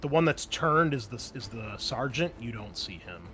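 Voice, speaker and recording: A man's voice: medium pitch, lively intonation, moderate pace, music in the background. Man running a tabletop roleplaying game. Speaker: game master.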